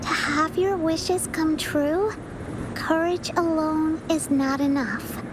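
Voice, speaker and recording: A woman's voice: high voice, fairly even intonation, takes it slowly, background noise. A woman voicing a timid, earnest young child in a video game, with a hesitant, childlike manner. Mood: earnest reading. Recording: music behind the voice.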